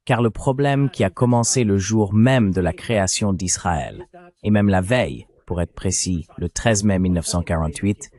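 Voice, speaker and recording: A man reading a measured, explanatory French voice-over of an interview. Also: lowish voice, darkish tone. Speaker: translation voice-over.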